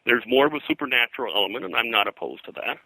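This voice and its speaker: A man's voice: medium pitch, lively intonation, very fast, creaky, pushed and bright, no echo, rough recording. An older man being interviewed as a historian, telling anecdotes in a rambling, hesitant way full of digressions. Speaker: pop culture historian, interview guest.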